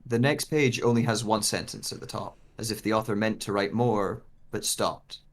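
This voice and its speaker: A man's voice: fairly low pitch, steady tone, normal speed, lightly acted. An actor playing a bewildered searcher with lost memory, narrating in the first person as he reads a dramatic script. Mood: pensive, searching.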